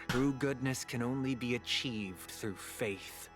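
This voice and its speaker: An actor playing a smug, grandiose video game villain who speaks in formal, theatrical oratory. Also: male voice, mid-range voice, slow, fairly bright tone. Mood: solemn, composed. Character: smug, grandiose male villain preaching order and paradise. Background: background game music.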